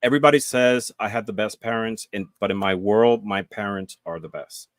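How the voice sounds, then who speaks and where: A man in his forties talks earnestly as a guest, pausing on fillers and restarting his sentences. His voice sits low, and the sound is close and dry. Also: no echo. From Puerto Rico. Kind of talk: casual interview chat.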